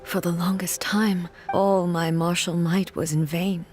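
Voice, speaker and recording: A woman's voice: fairly low pitch, flat delivery, darkish tone, soft background music, close to an everyday voice. Woman playing a solemn, regal warrior goddess. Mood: solemn, mournful. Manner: formal, grave, measured. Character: solemn, regal female warrior god ruling a nation. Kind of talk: video game voice acting.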